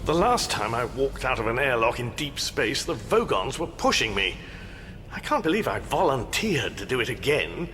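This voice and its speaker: Flustered, animated Englishman, played by an actor. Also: mid-range voice, moderate pace, over music, lightly acted. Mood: nervous. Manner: flustered, dry, politely exasperated. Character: anxious, bewildered, wry ordinary Englishman adrift in space. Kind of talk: radio comedy drama acting.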